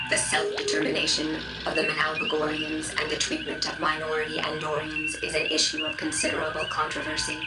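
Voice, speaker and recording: A woman voicing an impassive starship computer in a game, reading records in a formal, detached monotone. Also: low voice, lightly acted. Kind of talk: scripted database narration. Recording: echoey, distant, under very loud music.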